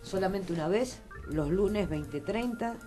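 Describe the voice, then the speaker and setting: A woman's voice: mid-range voice, lively intonation, unhurried, over music. A middle-aged woman hosting a radio interview in a small studio, talking at a studio mic with effusive, echoing agreement. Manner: warm and effusive, echoes guests' words. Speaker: radio host. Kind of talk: casual radio interview chat. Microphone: studio mic with headphones.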